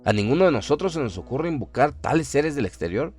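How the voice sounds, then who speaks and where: Un hombre que interpreta a un erudito grave que advierte con solemnidad y mesura sobre un mal cósmico, en una radionovela de terror. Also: male voice, mid-range voice, sing-song, darkish tone, slightly rough, faint music behind. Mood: grave, warning. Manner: measured, solemn, emphatic. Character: grave learned man warning villagers of cosmic evil. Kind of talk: horror radio drama acting.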